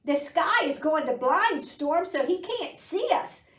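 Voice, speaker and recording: A woman's voice: high voice, expressive, a little slow, reverberant, far from the mic, voice pushed up. A woman voicing a confident male farm dog from a storybook, with barked, assertive announcements. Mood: reassuring.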